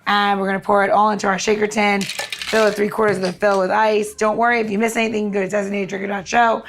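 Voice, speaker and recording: Creaky-voiced woman hosting a cocktail chat. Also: medium pitch, little pitch movement, quick pace, a little hiss or hum. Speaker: podcast co-host and cocktail mixer. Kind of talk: casual how-to chat.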